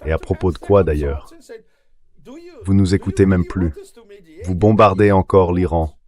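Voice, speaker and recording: A low male voice, synthetic in character, reads translated political commentary. It sounds like an AI dub of an interview guest. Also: fairly even intonation, a little slow, a little mellow. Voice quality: synthetic AI dubbing voice.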